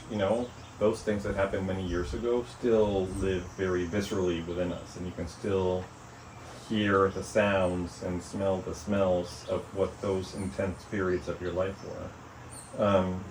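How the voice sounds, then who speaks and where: A man being interviewed about his book, speaking in a thoughtful, measured way with frequent "you know" and "um". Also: sits low. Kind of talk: reflective interview conversation. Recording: echoey room, mic at a distance, faint noise.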